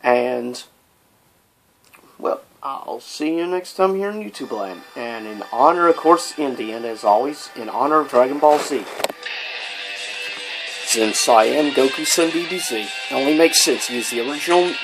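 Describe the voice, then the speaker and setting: A man's voice: mid-range voice, expressive, measured pace, music in the background, low-quality recording. A man hosting a casual, opinionated vlog, talking informally with rambling asides and exasperated rhetorical questions. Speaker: video vlogger, host. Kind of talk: casual, opinionated top-list vlog commentary.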